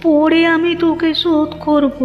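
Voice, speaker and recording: A woman's voice: high voice, level tone, a little slow. A woman voicing an indignant, complaining old village woman in a cartoon. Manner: scolding, complaining. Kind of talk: cartoon dialogue acting. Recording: muffled narrow-band audio with music.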